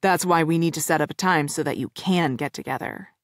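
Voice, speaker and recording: A woman voicing a cheerful, easygoing young man playing matchmaker, in a slightly lower, relaxed tone. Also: brisk, dry recording, clean studio sound. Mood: eager.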